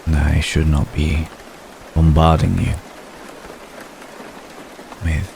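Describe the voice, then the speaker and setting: A man playing a centuries-old, lovestruck vampire gentleman in an ASMR roleplay, speaking formally and haltingly, apologetic and tender. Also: a bass voice, creaky, heavily acted. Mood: tender, vulnerable confession. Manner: halting, formal, soft-spoken, deliberate phrasing. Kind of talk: ASMR roleplay, intimate dramatic monologue. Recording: steady rain noise behind the voice. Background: rain.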